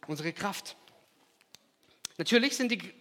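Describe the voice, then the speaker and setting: A man from Kazakhstan preaching a sermon, swinging from loud emphatic lines to quiet, hushed asides. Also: high-pitched, lively intonation, a little slow. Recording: long hall reverb.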